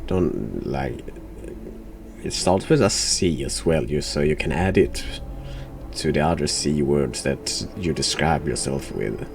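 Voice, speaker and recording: A man with a gravelly voice giving casual, sarcastic, deadpan commentary on a horror game. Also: very deep voice, takes it slowly, background noise. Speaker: streamer playing a horror game. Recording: music behind the voice.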